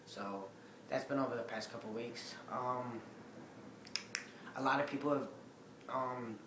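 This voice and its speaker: Raspy young man rambling in an echoey room. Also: medium pitch, measured pace, noisy background. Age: young adult. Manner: rambling, many ums, loose and casual. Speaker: vlogger. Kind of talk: casual update to camera. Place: living room with a Christmas tree. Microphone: webcam at desk distance.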